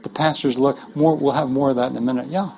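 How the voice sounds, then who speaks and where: A man's voice: medium pitch, fairly even intonation, quick pace, vocal fry, a little mellow, a little hiss or hum. A man leading a workshop for pastors, talking in an earnest, conversational way with joking asides and frequent restarts. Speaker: workshop leader teaching pastors. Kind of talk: conversational teaching talk.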